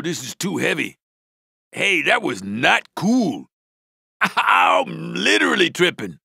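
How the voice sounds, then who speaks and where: Cartoon game stoner bus driver, American, very gravelly, swooping pitch, metalhead slang, spaced-out drawl. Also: male voice, bright, forceful tone, dry recording, studio quality, full character voice. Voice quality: raspy, creaky slacker drawl. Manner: spaced-out slacker slang, drawn-out words. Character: laid-back stoner metalhead male school bus driver. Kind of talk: cartoon video game voice acting.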